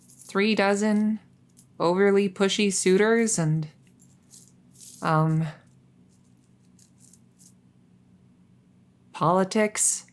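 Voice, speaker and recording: A woman playing a coy, flustered young crown princess in an intimate audio roleplay, speaking in a halting, stammering, nervous way. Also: mid-range voice. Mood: awkward, nervous. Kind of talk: intimate audio roleplay acting addressed to the listener.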